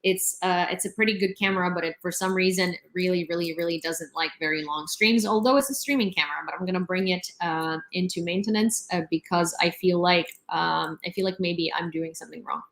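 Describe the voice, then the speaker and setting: A woman's voice: on the low side, steady tone, fairly quick, few pauses, fairly bright tone. A young woman hosting a live news stream, chatty and sarcastic, with many fillers. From Ukraine. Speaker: livestream news host. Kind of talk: casual live commentary. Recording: roomy echo.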